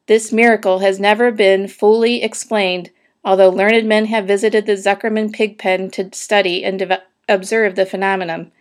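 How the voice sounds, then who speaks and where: A woman doing a pompous booming fair announcer. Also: flat delivery, moderate pace, lightly acted.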